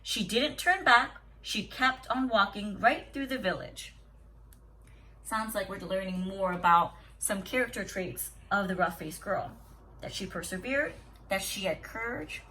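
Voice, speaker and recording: A woman teacher reading a story aloud to young children in an expressive, deliberate, instructive way with dramatic pauses. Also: medium pitch, slight background noise, poor audio. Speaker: kindergarten teacher.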